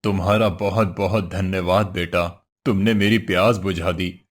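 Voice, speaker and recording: A man's voice: low voice, steady tone, moderate pace, slightly rough. A man voicing a hungry, weary old wandering holy man in a cartoon story, benevolent and pleading. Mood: grateful. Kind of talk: Hindi cartoon story voice acting.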